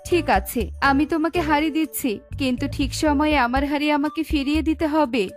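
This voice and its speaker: Lady doing a calm water fairy for a kids cartoon, gently commanding, soft music under it. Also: high voice, normal speed, close to an everyday voice. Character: calm, magical, helpful female water fairy. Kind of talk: children's fairy-tale cartoon acting.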